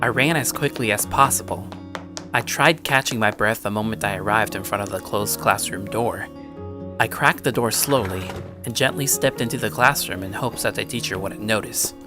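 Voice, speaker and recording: A man playing an anxious, introspective high school boy who narrates his own story. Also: moderate pace, natural voice. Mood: reflective. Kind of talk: first-person scripted story narration. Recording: music under the voice.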